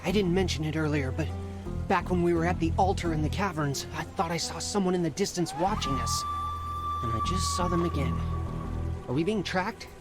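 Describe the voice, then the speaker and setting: An actor playing an eager, chatty teenage Spartan younger brother in a video game, excitable and informal, over background music. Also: male voice, fairly high pitch, fairly quick, lightly acted. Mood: alert.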